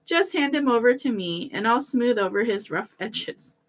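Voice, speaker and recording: A woman's voice: fairly high pitch, animated, moderate pace. Woman as a confident, scheming village sexton.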